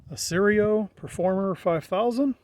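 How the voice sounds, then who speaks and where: A man's voice: high voice, a little slow, slight background noise. A middle-aged American man giving a casual, unscripted walkthrough in a plain-spoken, matter-of-fact way. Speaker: hobbyist presenter.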